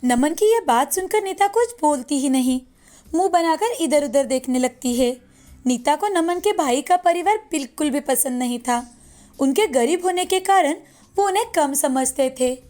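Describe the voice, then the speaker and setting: High-pitched woman storyteller. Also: lively intonation, normal speed, dark tone. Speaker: story narrator. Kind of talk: scripted storybook narration.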